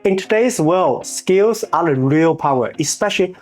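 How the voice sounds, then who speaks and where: A man in his thirties with a Chinese accent, hosting his own channel and giving earnest, measured, scripted motivational narration with small grammar slips. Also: a little high, lively intonation, darkish tone, faint music behind. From China. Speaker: channel host.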